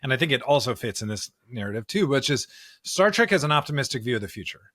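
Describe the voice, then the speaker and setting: Man, bright crisp-edged tone, mid pitch, podcast co-host, conversational explainer, frequent tag questions, dry sound. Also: quick pace, no room sound. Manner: animated explainer, often checking with "right?". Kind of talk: conversational discussion of scripture and pop culture.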